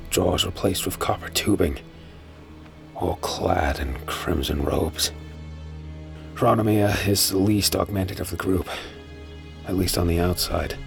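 A man narrating a fan audio drama in first person, measured and brooding. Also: deep voice, creaky.

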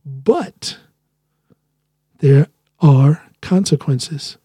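A man's voice: on the high side, lively intonation, very slow, dark tone, breathy edge, dry recording, clean studio sound. A man hosting a solo podcast, talking earnestly in a loose monologue and often restarting his sentences. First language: English. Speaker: podcast host.

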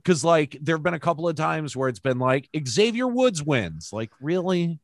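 A man's voice: a little high, sing-song, moderate pace, no echo, clean studio sound. A man co-hosting a casual podcast, laid-back, swearing now and then, his voice strained. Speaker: podcast co-host. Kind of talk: casual chat.

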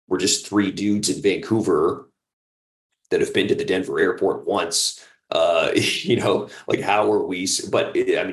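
Very deep-voiced man, echoey room. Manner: rambling, enthusiastic, peppered with "like" and "you know". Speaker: audio drama creator and producer, guest. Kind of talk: casual interview chat.

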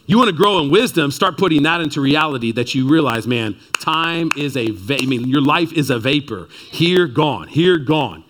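Male pastor, thirties, from Texas, fairly high voice, emphatic sermon delivery. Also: moderate pace, hardly any pauses. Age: 30s. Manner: emphatic, rising to excited peaks, then dropping low and quiet. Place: church before a seated congregation.

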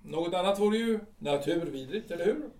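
A man acts out a sardonic, bitter middle-aged artillery captain in an audio drama. His voice sits high for a man, and he speaks with biting mockery. The sound is roomy and a little distant from the mic. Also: expressive, a little mellow. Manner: mocking, sardonic. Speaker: actor.

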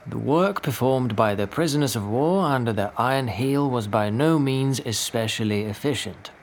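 Casting: man to play conscientious, tired wartime doctor, creaky and understated, for naturalistic audio drama; faint noise behind. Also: medium pitch, normal speed, close to an everyday voice. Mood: grim. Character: weary, conscientious male Norwegian doctor in 1945.

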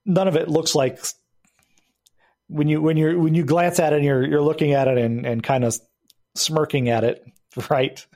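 A man's voice: on the high side, fairly even intonation, normal speed, pauses often, a little mellow. A man narrating as game master of a tabletop game, often restarting phrases and laughing.

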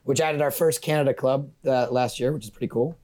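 Fast-talking man, casually enthusiastic. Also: mid-range voice, a little mellow. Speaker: guest, brand conservation representative. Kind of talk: casual promotional interview talk.

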